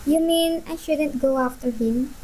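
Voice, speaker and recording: Pert, high-voiced schoolgirl played by a woman. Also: unhurried, darkish tone, poor audio. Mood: indignant. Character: pert, possessive, indignant schoolgirl. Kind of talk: radio play acting.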